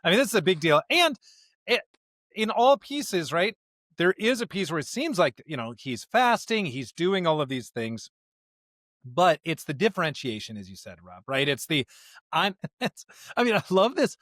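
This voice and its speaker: A man's voice: on the high side, sing-song, fairly bright tone, no echo. Emphatic man podcasting, close dry sound. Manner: emphatic, halting, often adds rhetorical "right?". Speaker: podcast co-host. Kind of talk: conversational scripture discussion.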